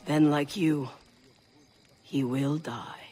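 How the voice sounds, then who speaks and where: Game role: stern male village elder, rhetorical and grave, somewhat high voice. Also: steady tone, very slow, very noisy. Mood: grim. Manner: solemn, emphatic, rhetorical. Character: stern Native village elder, fatalistic, scornful man. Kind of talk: dramatic game cutscene acting. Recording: dramatic music behind.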